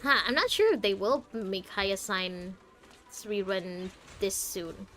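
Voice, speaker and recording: A woman's voice: medium pitch, expressive, slow pace, sharp tone, a little hiss or hum. A woman streaming a game, chatting casually and thinking aloud in a rambling way. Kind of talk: casual chatty playthrough commentary.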